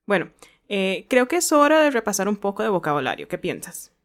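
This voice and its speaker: A woman's voice: medium pitch, sing-song, brisk. A woman hosting a language lesson, moving between presenting and casual chat.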